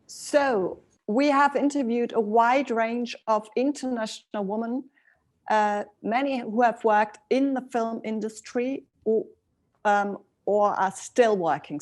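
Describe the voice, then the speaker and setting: A woman giving a presentation at a forum, unhurried and hesitant with fillers. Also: fairly high pitch.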